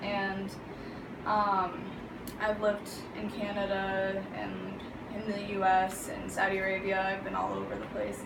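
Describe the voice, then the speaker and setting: A young woman born in the US answering questions casually, with frequent likes and ums. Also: medium pitch. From United States. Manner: chatty, says like often. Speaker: interviewee. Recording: echoey room from far off, hissy.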